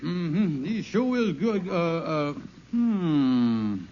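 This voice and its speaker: Blustery folksy schemer in old radio comedy, high voice, hissy muffled sound. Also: male voice, very animated, extremely slow, warm tone, exaggerated voice. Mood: bluffing. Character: blustery, wily middle-aged married schemer, comic know-it-all. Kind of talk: 1940s-50s radio comedy acting.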